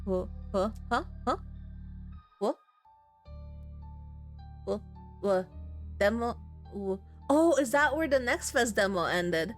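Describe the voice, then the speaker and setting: A woman giving casual, reactive let's-play commentary on a horror game, thinking out loud in an informal way. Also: fairly high pitch, lively intonation, slow, long pauses. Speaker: game streamer playing a horror visual novel. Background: game music.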